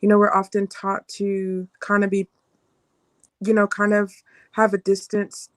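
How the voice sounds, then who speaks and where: A woman's voice: medium pitch, monotone, measured pace, no room sound. A woman speaking as a panelist about her own work, hesitantly searching for words. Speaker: panelist, journalist. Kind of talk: personal explanation in a panel introduction.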